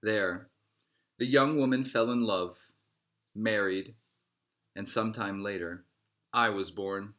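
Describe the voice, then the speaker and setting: Low-voiced man reading a storybook aloud. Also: takes it slowly, long pauses, fairly bright tone. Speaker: storybook reader. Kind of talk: scripted read-aloud narration of a picture book.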